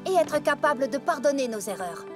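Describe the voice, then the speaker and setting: A woman's voice: high voice, lively intonation, fairly quick. A woman voicing a sincere, down-to-earth young female farm pony in a French cartoon dub, earnest and plain-spoken. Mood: reflective, warm. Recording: loud music behind.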